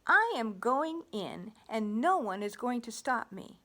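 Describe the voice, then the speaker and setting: A woman's voice: on the high side, sing-song, a little slow, sharp tone, full character voice. A woman voicing a bossy, bored little hen in a picture book read-aloud, squawking and insistent. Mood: excited.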